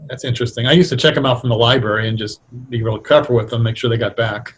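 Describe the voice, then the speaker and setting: A man's voice: medium pitch, fast talker, slightly rough. A middle-aged or older man talking casually as an interview guest, explaining things in a reflective, hesitant way. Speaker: guest, radio drama writer.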